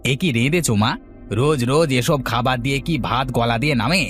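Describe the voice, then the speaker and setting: A man's voice: mid-range voice, moderate pace, soft background music, close to an everyday voice. Man acting a sulky, hot-headed young son. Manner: sulky, complaining. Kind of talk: Bengali fairy-tale cartoon dialogue.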